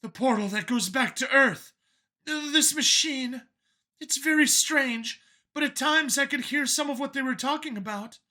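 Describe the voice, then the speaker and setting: Casting: worried sci-fi mother played by a man, raised pitch, earnest and hesitant delivery. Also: moderate pace, sharp tone, heavily acted. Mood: anxious, earnest. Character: Vincent's mother, earnest, anxious woman, pleading softly. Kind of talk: audiobook character voice in science fiction story.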